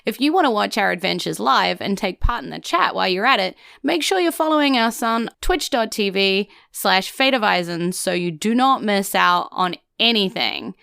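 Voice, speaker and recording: An excitable, playful woman with a New Zealand accent, hosting and narrating a tabletop game podcast in a theatrical, chatty way. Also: medium pitch, moderate pace, vocal fry, few pauses, clear, resonant tone. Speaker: podcast host and game master.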